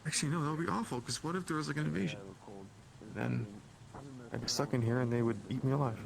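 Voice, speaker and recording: A man's voice: medium pitch, fast, gravelly, a crowd in the background, rough recording. A hesitant, rambling man in casual improvised roleplay chat.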